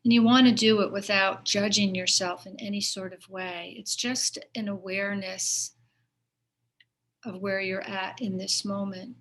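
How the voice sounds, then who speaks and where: A woman leading a guided meditation as a teacher, giving gentle, unhurried instructions with pauses between phrases. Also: mid-range voice, fairly even intonation.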